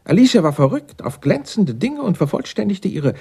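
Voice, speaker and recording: Radio drama: arrogant male suspect, condescending and wordy, mellow but rough-edged, very animated in pitch. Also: moderate pace, heavily acted. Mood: indignant. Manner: pompous, sneering, wordy.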